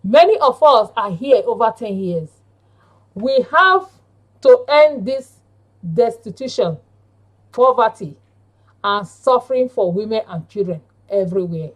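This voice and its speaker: A woman with a fairly high voice delivers a prepared speech at a very slow pace. She sounds earnest and deliberate, breaking sentences into short, halting phrases. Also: expressive. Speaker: panel speaker and member of a women's campaign group. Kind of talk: prepared speech read aloud.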